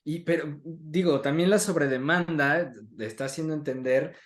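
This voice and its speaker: A man's voice: on the high side, normal speed. A Mexican man hosting a casual conversational interview in an informal, chatty way. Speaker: interview host.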